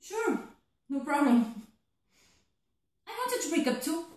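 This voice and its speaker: A woman's voice: high voice, very animated, slow pace, darkish tone, full character voice. An actress playing a heartbroken young woman being dumped who feigns indifference, in a theatrical monologue. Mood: forced cheer. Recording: echoey, roomy sound.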